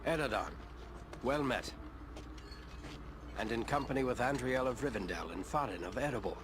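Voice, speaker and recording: An actor playing a wary, commanding ranger leader in a fantasy video game, speaking gravely and formally. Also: male voice, medium pitch, normal speed, noisy background, lightly acted. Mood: guarded greeting.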